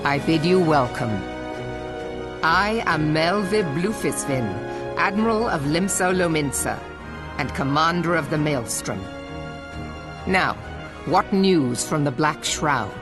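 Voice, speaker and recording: A female admiral in a fantasy video game. She is stern and formal, with the authority of high command. Music plays clearly behind her. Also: animated, slow pace, pauses often.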